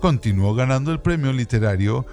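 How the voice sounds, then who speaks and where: A man presenting a radio program, reading literary trivia from a script in a measured, even way. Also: mid-range voice. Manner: measured, reads evenly from a script. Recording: music bed under voice. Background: background music.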